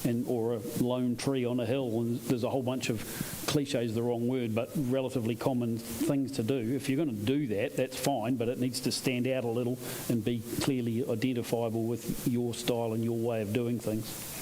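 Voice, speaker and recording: A man co-reviewing competition photographs, adding short, measured comments. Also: medium pitch, little pitch movement, warm tone, a little hiss or hum, poor audio.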